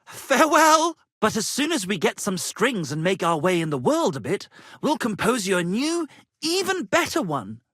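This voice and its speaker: A man voicing a pompous, flattering, melodramatic medieval minstrel in a video game, with florid, grandiose delivery. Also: high voice, moderate pace, dry recording, studio quality, full character voice. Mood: elated, exclaiming, then grandiose, grateful. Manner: florid, grandiose, declamatory, swinging between rapture and despair. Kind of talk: theatrical video game character acting.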